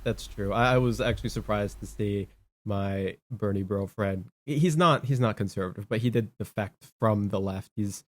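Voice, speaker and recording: A man's voice: fairly low pitch, normal speed. A man hosting a casual political chat show, talking in a blunt, dismissive way. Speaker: podcast host.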